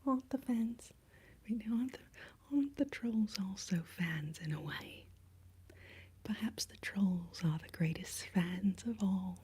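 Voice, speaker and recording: A woman plays a prim, theatrical British lady in a comic livestream sketch. The accent is exaggerated and mock-posh, delivered in a very breathy whisper that sits higher, with a playful air. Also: unhurried, darkish tone.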